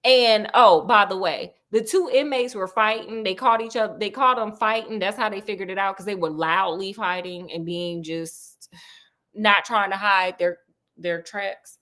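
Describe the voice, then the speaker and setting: A woman's voice: mid-range voice, normal speed, pushed and bright. A woman storyteller casually retelling a classic novel with sassy, chatty asides.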